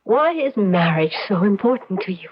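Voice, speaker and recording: A woman's voice: medium pitch, big pitch swings, normal speed, husky. Seductive scheming witch, lo-fi. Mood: teasing, evasive. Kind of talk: old-time radio drama acting. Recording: dull lo-fi radio drama recording.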